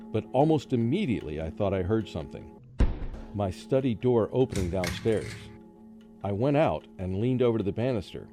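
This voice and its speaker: A man playing a nervous, haunted scholar who narrates a radio drama in dramatic storytelling style. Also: low voice. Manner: dramatic first-person storytelling. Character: haunted, nervous male scholar recounting his ordeal.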